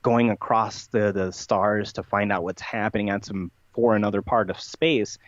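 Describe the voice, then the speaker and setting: A man's voice: lowish voice, steady tone, moderate pace, no room sound, muffled recording. A man talking as a podcast guest in a conversational, rambling way, making absurd claims matter-of-factly. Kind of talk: conversational interview talk, playful tongue-in-cheek persona.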